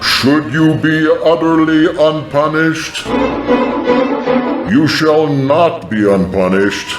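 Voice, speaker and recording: A man voicing God in a dramatized vision, pronouncing wrathful judgment in solemn, drawn-out archaic proclamations. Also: on the high side. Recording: echoing, music behind the voice. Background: music.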